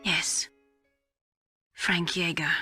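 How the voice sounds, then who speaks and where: A woman playing a sorrowful, vengeful young scientist in a video game, speaking in a measured, wistful, confessional way. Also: lowish voice, steady tone, pushed and bright, faint music behind, exaggerated voice. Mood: bitter, sorrowful. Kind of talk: dramatic video game voice acting.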